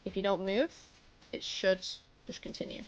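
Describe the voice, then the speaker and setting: A woman's voice: medium pitch, steady tone, moderate pace, lo-fi sound, slight background noise. A woman streaming live, patiently explaining a video game step by step to a newer player. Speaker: streamer coaching a new player.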